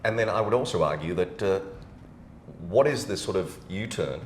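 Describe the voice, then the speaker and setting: A deep-voiced man hosts a casual interview. He talks in a matey, opinionated, informal way. The room is clearly echoey, with faint noise in the recording. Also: expressive, moderate pace. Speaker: podcast host.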